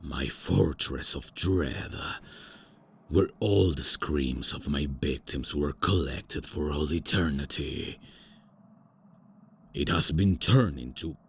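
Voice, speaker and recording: A man's voice: slight background noise, full character voice. A man voicing an ancient, arrogant male vampire lord in an ASMR roleplay drama, speaking in a pompous, grand manner. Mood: indignant. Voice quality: very deep, gravelly, slow and pompous.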